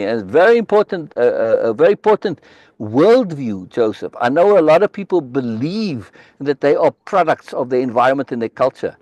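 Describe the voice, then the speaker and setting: Insistent, lively man. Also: fairly high pitch, dry recording. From South Africa. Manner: emphatic, insistent, repeats key words. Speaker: host leading a book reading discussion. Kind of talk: animated live discussion. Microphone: video call.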